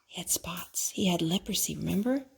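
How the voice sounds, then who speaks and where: A mother reading a rhyming children's book aloud to her child, gentle and sing-song, pausing to ask him questions. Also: fairly low pitch, lively intonation, creaky, slight background noise. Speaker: mother and storytime host.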